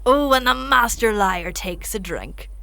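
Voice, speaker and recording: A performer playing a smug, sharp-tongued companion in a fantasy audio drama, dry, clipped and taunting. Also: mid-range voice, expressive, unhurried, gravelly, no room sound, exaggerated voice.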